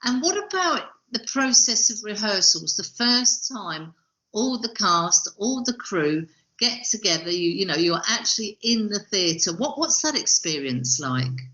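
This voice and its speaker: Female podcast host, bright crisp-edged delivery, conversational questioning, roomy and slightly muffled audio. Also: medium pitch, sing-song, unhurried.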